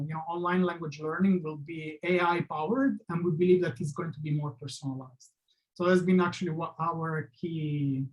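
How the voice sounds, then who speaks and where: A man's voice: on the high side, poor audio. A man presenting his product in a webinar over a video call, talking in a loose, conversational way with hesitant restarts and non-native phrasing.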